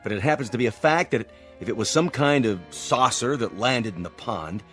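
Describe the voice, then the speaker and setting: An actor playing a level-headed, earnest American police officer in a radio drama, measured and reasonable. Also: male voice, medium pitch, a bit bright, lightly acted. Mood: grave, deliberate. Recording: music bed under the dialogue.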